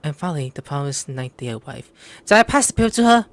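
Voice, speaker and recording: Animated woman playing through a game. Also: low-pitched, fast talker, background noise. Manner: playful, bursts into loud put-on voices. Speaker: game streamer. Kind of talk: playthrough commentary, reading dialogue aloud. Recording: music behind the voice.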